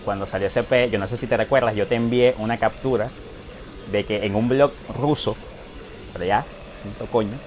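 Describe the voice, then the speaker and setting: A man's voice: on the low side, steady tone, normal speed, soft background music. A man hosting a casual interview, talking at length and leaning on filler words. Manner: rambling, leans on filler words. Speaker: interviewer, host.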